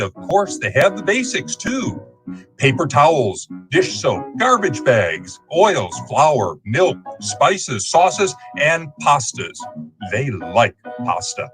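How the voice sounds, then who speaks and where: Male announcer, scripted advert, animated delivery, medium pitch, music behind.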